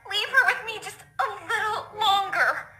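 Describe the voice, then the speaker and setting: A woman voicing a frightened, soft-natured mother pony in an audio story, pleading in a halting, desperate way. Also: very high-pitched, echoey room, soft background music.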